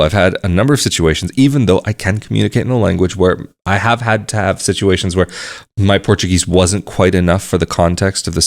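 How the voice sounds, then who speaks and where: A man talking casually to the camera, explaining things at a measured pace and repeating phrases for emphasis. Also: low voice. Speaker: vlogger.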